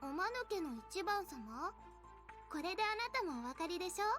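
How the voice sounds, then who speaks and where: A woman's voice: very high voice, very animated, racing, fairly bright tone, exaggerated voice. A woman voicing a haughty, girlish game overseer in a visual novel, speaking in a formal, ladylike and condescending way. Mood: prim, condescending. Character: prim, haughty, high-voiced girlish female game overseer. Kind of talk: Japanese visual novel voice acting. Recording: music behind the voice.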